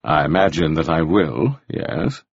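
A man voicing a stern, calculating jailer who questions in a slow, probing way. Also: a bass voice, very animated, gravelly, husky, no echo, voice pushed down, full character voice. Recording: dull lo-fi recording.

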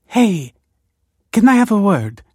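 A man voicing a desperate woman in an audiobook, pleading in an emotional, breathy way. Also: sits high, very animated, slow, dark tone, no room sound, studio quality, pitched above the performer's own voice, heavily acted. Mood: awkward, urgent.

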